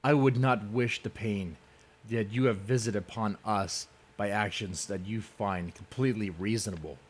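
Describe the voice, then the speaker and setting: Low-voiced man in a theatrical, scornful reading. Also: husky. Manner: theatrical, scornful, deliberate with drawn-out emphasis. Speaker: reader of an online post. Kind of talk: dramatic reading.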